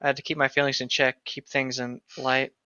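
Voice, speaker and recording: A woman's voice: a bass voice, little pitch movement, fairly quick, pushed and bright, clear, resonant tone, no room sound, muffled recording. A woman co-hosting a comedic read-along podcast, with playful, sweary banter and reading aloud. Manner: playful, crude, sweary joking.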